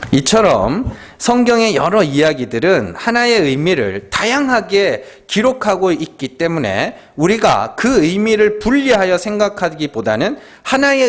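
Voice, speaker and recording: A pastor with a Gyeongsang accent preaches in a conversational way, firing rhetorical questions and prompts at his listeners. His voice sits high for a man and leaps wildly up and down as he stresses each point. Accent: Gyeongsang Korean. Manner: emphatic, swooping delivery. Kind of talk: Friday prayer meeting sermon.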